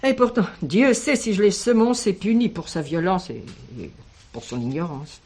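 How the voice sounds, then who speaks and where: Older village teacher lady in a radio drama, reflective, expressive, stubbornly protective. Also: on the low side, normal speed, husky. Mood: earnest, reflective. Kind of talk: French radio drama acting.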